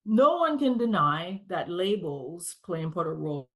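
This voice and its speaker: A woman in her forties from Haiti, with a Haitian accent, giving a prepared conference presentation in a measured, deliberate way, as if reading. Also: on the low side, sing-song. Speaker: conference speaker.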